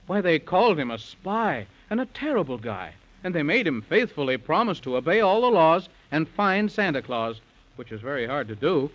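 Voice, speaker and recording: A man's voice: on the high side, big pitch swings, moderate pace. A man narrating a children's story in sing-song rhyming verse, with a theatrical 1930s radio delivery. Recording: muffled lo-fi 1930s radio recording.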